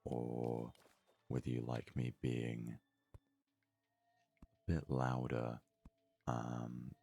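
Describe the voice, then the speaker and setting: A male gaming host speaks in soft, unhurried ASMR style. His voice is rumblingly low and heavily fried, with a husky rasp. He muses in a low-key way as he comments on his play. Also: monotone, no room sound. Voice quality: gravelly, rough, deep.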